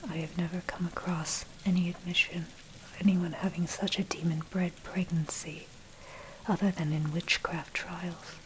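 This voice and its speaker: A woman narrates in a breathy, unvoiced whisper, giving a measured, scholarly ASMR reading of a dark history article. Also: low-pitched. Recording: heavy background noise, rain and thunder. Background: rain, thunder, clicks.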